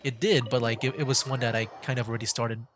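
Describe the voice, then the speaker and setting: A man's voice: mid-range voice, fairly even intonation, fast, fairly bright tone. A man streaming a video game, giving casual commentary as he plays. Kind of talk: casual game stream commentary, reading dialogue choices aloud. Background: game music.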